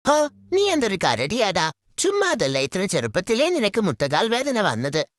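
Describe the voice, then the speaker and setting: A woman's voice: medium pitch, huge pitch swings, normal speed, dry recording, studio quality. A woman voicing a sly, lazy male fox who poses as a healer in a children's cartoon, scheming and bossy. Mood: bossy, persuading. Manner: scheming, bossy, mock-authoritative. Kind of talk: children's cartoon story character.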